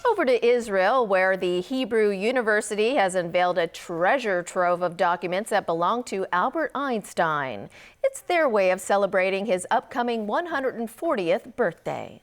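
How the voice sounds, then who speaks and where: A woman's voice: medium pitch, expressive, moderate pace. A woman anchoring a news bulletin, reading scripted introductions to reports.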